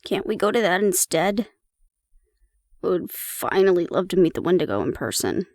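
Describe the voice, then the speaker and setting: Woman acting a creaky-voiced, sardonic young woman. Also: fairly low pitch, very animated, moderate pace, dry recording. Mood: pleading, wry. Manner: dry, sarcastic, muttering asides. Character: sardonic, nervous young woman protective of her mate, bickering with father. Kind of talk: audio roleplay acting addressed to the listener.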